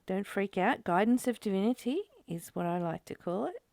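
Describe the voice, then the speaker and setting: A woman giving a spiritual reading and reflection as a teacher, in a deliberate, measured way with emphatic pauses. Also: mid-range voice, lively intonation, creaky, a little mellow, no echo, studio quality. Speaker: study group teacher reading and commenting.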